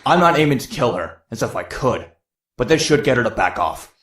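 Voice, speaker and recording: A man's voice: medium pitch, big pitch swings, a little fast, noisy background, close to an everyday voice. Guy doing cocky masked antihero for a motion comic, sarcastic quips, echoey sound. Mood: wry narration. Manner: sardonic, quippy. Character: wry, cocky young masked vigilante antihero man. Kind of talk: motion comic narration and dialogue.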